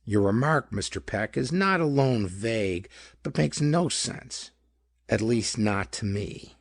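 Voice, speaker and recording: A man voicing a cold, smooth, scornful male doctor in a pulp audiobook, with precise, haughty speech. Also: mid-range voice, expressive, takes it slowly, no echo, clean studio sound, full character voice. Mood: coldly evasive.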